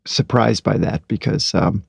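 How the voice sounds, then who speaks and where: A middle-aged man hosting a podcast, talking in a calm, unhurried way and reading aloud from his own blog post. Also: on the low side, gravelly, breathy edge, no echo, studio quality. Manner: calm, slow and unhurried, with fillers. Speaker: podcast host.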